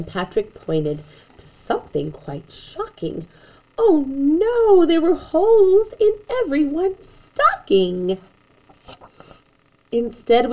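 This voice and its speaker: Woman, bedtime storyteller, slow pace, very animated intonation, chatty asides to children. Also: on the low side, highs cut off. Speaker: storyteller reading a picture book aloud to children.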